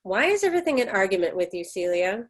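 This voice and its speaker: A woman's voice: mid-range voice, sing-song, quick pace. A woman playing a stoic, controlling middle-aged American mother in a play reading, speaking in a firm, insistent way. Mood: exasperated, stern. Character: stoic, controlling 48-year-old American mother, curt and short-tempered.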